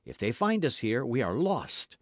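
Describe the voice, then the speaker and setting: A man's voice: mid-range voice, wildly swooping pitch, moderate pace, no echo, clean studio sound, voice pushed up. A man reading an audiobook as a brave, eager young guardsman with an emphatic, courtly way of speaking. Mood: urgent caution. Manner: emphatic, courtly, formal speech.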